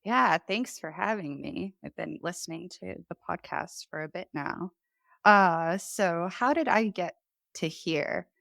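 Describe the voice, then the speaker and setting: A woman's voice: fairly low pitch, lively intonation, unhurried, gravelly, a bit bright, no echo, clean studio sound. A woman raised in Chicago, with a slight Chicago accent, talking casually as a podcast guest, chatty and laughing often. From born in Poland, raised in Chicago. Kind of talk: casual conversation.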